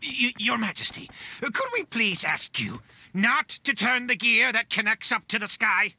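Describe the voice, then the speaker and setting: A man voicing an agitated, earnest citizen in a video game, urgent yet deferential, with a rough voice. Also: extremely high pitch, big pitch swings, a little slow, bright, forceful tone, heavily acted.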